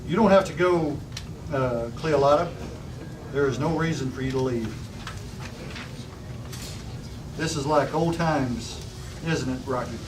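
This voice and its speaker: A man's voice: medium pitch, moderate pace, lightly acted. Man reading effusive professor, distant and noisy. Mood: vague. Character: distracted, exuberant male scientist professor, oddly vague. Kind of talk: script table read of a sci-fi serial. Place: busy restaurant table, far camera. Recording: distant mic, noisy restaurant room.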